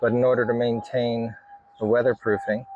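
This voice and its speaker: A man giving a casual hands-on demonstration in an informal, halting, plain-spoken way, as a hobbyist making a video. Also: mid-range voice, flat delivery, phone-quality sound, a little hiss or hum. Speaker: hobbyist vlogger.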